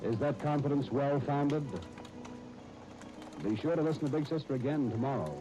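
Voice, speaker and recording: A man working as a 1930s radio announcer, narrating and pitching in a genial, polished style. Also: moderate pace, poor audio. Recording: 1939 radio broadcast with music. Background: music.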